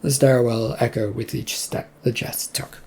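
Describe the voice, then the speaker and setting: A narrator with a husky, creaky voice reading a scripted story aloud. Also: medium pitch, fairly even intonation, a little slow, darkish tone.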